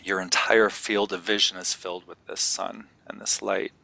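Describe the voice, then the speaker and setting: Male meditation guide: lowish, crisp-edged bright voice, gentle and unhurried, leaving long pauses. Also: flat delivery, no echo, rough recording.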